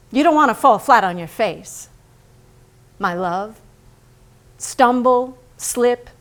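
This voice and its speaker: Slow, high-voiced, intense wife. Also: female voice, fairly bright tone. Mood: tender, bitter. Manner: deliberate, incantatory, biting. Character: painter's wife, bitterly devoted, intense, reproachful. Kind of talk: theatrical staged reading, monologue.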